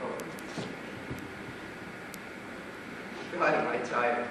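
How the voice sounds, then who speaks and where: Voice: mid-range voice, fairly even intonation, a little fast, close to an everyday voice. An actor playing a frightened, anguished, childlike character in an amateur stage play, speaking in a hesitant, pleading way. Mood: weary. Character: frightened, anguished, childlike person haunted by dread. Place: small theatre stage. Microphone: distant recorder in the hall. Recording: very echoey hall, distant, noisy.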